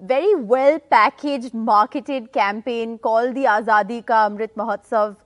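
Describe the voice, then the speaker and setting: A woman hosting a panel discussion, asking probing questions in a measured, articulate way. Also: a little high. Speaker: host.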